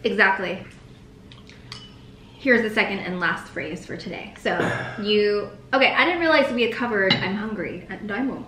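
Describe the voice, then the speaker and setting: A young woman from the United States co-hosting a food vlog, chatting casually at the dinner table, laughing often and saying short phrases slowly for her partner to repeat. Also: medium pitch, pushed and bright. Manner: laughs often, teaches slow careful phrases. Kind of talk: casual chat. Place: bright dining room indoors, at a table. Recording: echoey room, faint background hiss.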